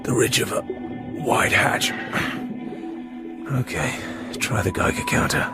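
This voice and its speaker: An actor playing a cool, self-controlled British secret agent in a radio drama, in clipped, understated phrases. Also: male voice, mid-range voice, takes it slowly, natural voice. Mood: focused, wary. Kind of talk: radio drama acting, terse inner monologue. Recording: radio drama with loud music bed.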